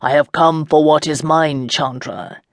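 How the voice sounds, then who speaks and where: A reader playing a commanding dark lord who speaks calmly and with quiet mockery. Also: normal speed, no room sound, clean studio sound, natural voice. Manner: calm, low and assured.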